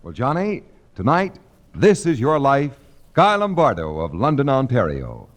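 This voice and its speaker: A man's voice: medium pitch, wildly swooping pitch, unhurried. A man announcing a scripted 1940s radio show in a formal, dramatic showman's style. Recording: old 1940s radio transcription with music.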